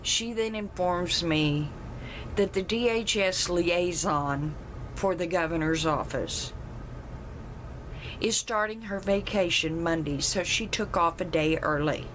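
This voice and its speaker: A woman with a loud, carrying voice, telling a personal story emphatically. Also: slow pace, slight background noise, low-quality recording. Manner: emphatic, rises in pitch when retelling her protest.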